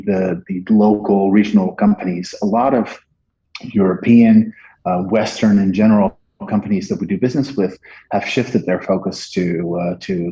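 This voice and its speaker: A Dutch-accented man in his forties explains marketing in a slow, careful way, often stopping to restart his sentences. His voice sits low and mellow, a little husky, coming through the muffled, lo-fi sound of a video call from an office. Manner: measured, hesitant, many fillers and restarts. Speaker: marketing executive guest. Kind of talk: business interview, explaining. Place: office room, indoors. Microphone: earbuds on a video call. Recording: dull lo-fi video call audio.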